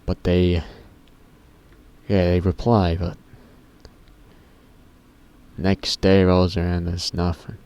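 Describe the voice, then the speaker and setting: A teenage boy with a very deep voice, rambling casually to camera in a vlog, often stopping himself mid-sentence and swearing offhandedly. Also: monotone, slow, long pauses, a little mellow, smooth. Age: teens. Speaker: YouTuber.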